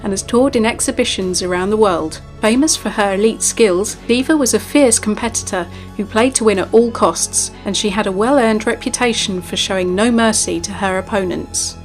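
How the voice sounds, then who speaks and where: A woman's voice: medium pitch, normal speed, few pauses. A woman giving scripted narration for a video game character profile. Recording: music behind.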